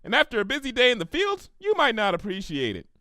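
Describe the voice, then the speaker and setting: A man voicing a bubbly, silly young female cartoon pony, chirpy and excitable, in a story reading. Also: very high voice, fast, no echo, studio quality, voice pushed up, full character voice. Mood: cheerful, eager.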